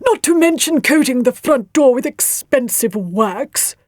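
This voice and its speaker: Genteel monster parent, played by a woman reader: grumbly, fussy, polite, high voice, storybook audio with dry sound. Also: normal speed, a little mellow, no room sound, natural voice. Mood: grumbling.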